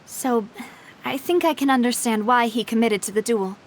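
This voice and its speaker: A woman's voice: on the high side, normal speed, slight background noise, lightly acted. An actress playing a determined, kind young female boss, composed and articulate, in a video game cutscene. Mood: composed, serious.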